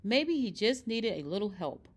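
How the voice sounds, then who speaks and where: A woman reading a children's picture book aloud in expressive, scripted narration. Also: medium pitch, normal speed. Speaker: storybook reader.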